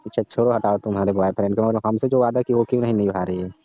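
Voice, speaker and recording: A man's voice: fairly low pitch, fairly even intonation, rapid-fire, natural voice. A man playing a pushy, leering coaching teacher, needling and insisting in casual conversation. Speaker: performer in a comic prank-style phone call skit. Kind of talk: casual phone conversation skit. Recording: muffled lo-fi phone call recording.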